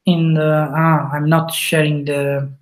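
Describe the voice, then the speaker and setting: A man with an Italian accent, a native Italian speaker, hosting a webinar and walking through a coding project, pausing often to think aloud. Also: a little high, level tone. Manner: halting, thinks aloud with fillers. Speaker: webinar presenter. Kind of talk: live coding walkthrough.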